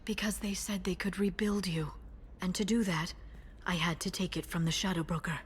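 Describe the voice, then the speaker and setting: A woman playing a guarded, driven young alien information broker bent on revenge, in an earnest, measured and intense manner for a video game. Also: fairly low pitch, fairly even intonation, a little hiss or hum, close to an everyday voice. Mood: guilty, confessional. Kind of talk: cinematic video game voice acting.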